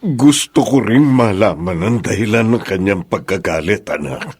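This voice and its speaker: An actor playing a humble, sorrowful older father in a radio drama, measured and regretful. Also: on the low side, lively intonation, a little mellow, breathy edge, no room sound. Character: sorrowful, humble older father blamed by his daughter-in-law. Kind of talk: Tagalog radio drama acting.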